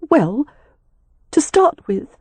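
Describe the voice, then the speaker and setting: A narrator voicing a troubled young married woman in a novel, earnest, polite and gently pleading. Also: high voice, big pitch swings, drawn-out words, no room sound, pitched above the performer's own voice, heavily acted. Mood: tearful, unsettled.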